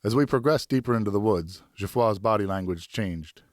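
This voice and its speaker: Male audiobook narrator, low register, dry sound, scripted first-person storytelling. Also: a little fast, dry recording.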